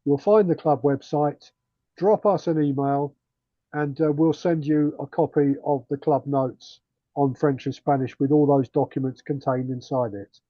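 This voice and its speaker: A man hosting an online radio club meeting, speaking haltingly with many fillers. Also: fairly high pitch, fairly even intonation, no room sound, muffled recording. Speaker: club host.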